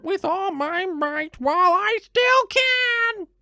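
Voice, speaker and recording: A man's voice: very high voice, animated, slow pace, sharp tone, pitched above the performer's own voice, heavily acted. A man voicing a panicky rooster from a storybook, with an alarmed, stammering manner. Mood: panicked lament. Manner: stammering, repeating words in alarm. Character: frantic, panicky male rooster, storybook animal. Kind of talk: animated storybook character voice.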